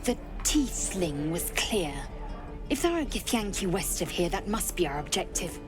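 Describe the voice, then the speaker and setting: A woman's voice: fairly low pitch, sing-song, moderate pace. An actress voicing a stern, blunt warrior woman of an alien race who speaks in clipped commands in a video game. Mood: cold. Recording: game audio with music behind.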